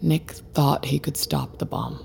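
An actress playing a grave, resigned technology creator in an audio drama, speaking deliberately and solemnly in a gravelly voice. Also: female voice, a bass voice, wildly swooping pitch, darkish tone, a little hiss or hum, full character voice. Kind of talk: naturalistic audio drama acting.

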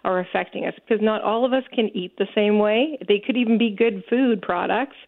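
A woman's voice: medium pitch, fairly quick, vocal fry. A woman who owns a store, a guest on a talk show, speaking with enthusiasm and many fillers. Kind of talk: casual interview chat. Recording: tinny phone-like sound.

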